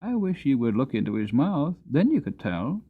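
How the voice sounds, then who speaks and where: A man's voice: mid-range voice, sing-song, normal speed, phone-quality sound, pitched above the performer's own voice. A man voicing an eager, kind-hearted young boy who pleads earnestly, in a dramatic audiobook reading. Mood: eager pleading.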